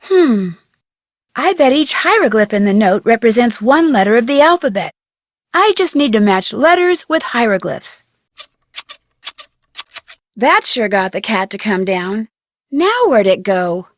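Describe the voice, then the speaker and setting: A young American amateur detective for an adventure game. She is inquisitive and chirpy, with a high, lively voice that rises and falls as she puzzles things out loud. The sound is close and dry. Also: a little slow, pauses often, no echo. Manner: clearly enunciated, inquisitive musing to herself. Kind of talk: scripted adventure game character lines, thinking aloud.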